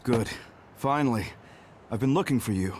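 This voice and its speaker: An actor voicing a composed, dutiful major general in an anime game, speaking in a measured, understated way. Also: male voice, medium pitch, close to an everyday voice. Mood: relieved, calm. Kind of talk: English anime game voice acting.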